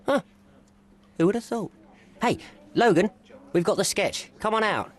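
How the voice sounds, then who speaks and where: Wry, chatty desk cop, animated delivery. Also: male voice, high-pitched, unhurried. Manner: wry, talkative. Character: chatty overworked police desk officer. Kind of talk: video game voice acting.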